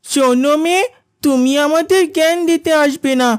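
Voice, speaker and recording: A woman's voice: high voice, moderate pace, no echo, clean studio sound, lightly acted. A woman voicing a haughty, hostile village schoolgirl in a cartoon, snippy and accusing. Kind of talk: cartoon character dialogue.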